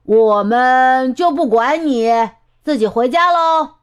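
A woman voicing a friendly, patient, playful storybook elephant with an expressive, teasing manner. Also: mid-range voice, measured pace, muffled recording. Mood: calling out.

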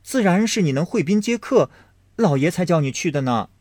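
Sharp-tongued teen girl voiced by a man, high and fast and teasing, storybook reading. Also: expressive, no echo, clean studio sound. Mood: teasing, indignant. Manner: quick, teasing, pitched high. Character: lively outspoken teenage girl, sharp-tongued. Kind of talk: audiobook reading of a classic novel.

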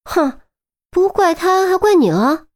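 A woman voicing a brisk young policewoman in an audiobook, pressing with questions and scolding protectively. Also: fairly high pitch, very animated, a little mellow. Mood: exasperated scolding. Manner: pressing, rapid-fire questioning. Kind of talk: audiobook character dialogue.

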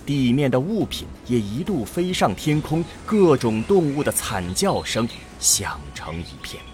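A man's voice: mid-range voice, big pitch swings, measured pace, a little mellow, faint music behind. A man narrating an audio drama from a script in a grave, dramatic manner.

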